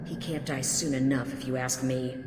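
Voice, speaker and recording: An actress playing a cynical, hard-bitten mercenary lieutenant in a video game, dry and matter-of-fact. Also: female voice, a bass voice, steady tone, normal speed. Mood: bitter. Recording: roomy hall reverb, noisy ambience.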